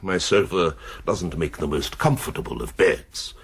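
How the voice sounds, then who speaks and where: A courteous, dryly wry college man in a radio play. His voice is deep and husky, with lively intonation. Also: unhurried, heavily acted. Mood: gently wry. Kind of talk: radio drama dialogue.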